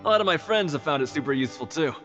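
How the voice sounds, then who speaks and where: A man's voice: on the high side, animated, racing, a bit bright, dull audio, soft background music. An actor playing a boisterous, relentlessly upbeat man in a video game, hearty and exhorting. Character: boisterous, relentlessly upbeat male pep-talker. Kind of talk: animated video game acting.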